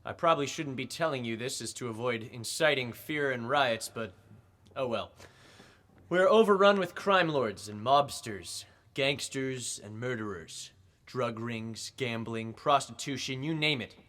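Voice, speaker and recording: Guy playing a blustery politician in an old radio play, sharp voice, declaiming, kinda evasive. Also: medium pitch, expressive, a little slow. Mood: declamatory. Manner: declamatory, pompous, testy. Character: blustering, evasive male district attorney at a press conference. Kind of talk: old-time radio drama acting.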